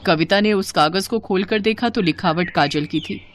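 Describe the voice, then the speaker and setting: A woman's voice: medium pitch, normal speed, slight background noise. A woman narrating a scripted moral story as a storyteller.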